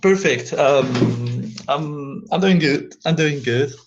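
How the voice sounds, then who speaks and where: A man from Algeria, a guest in a casual interview over a remote call, speaking English haltingly and searching for words. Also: a little high, lo-fi sound, over music.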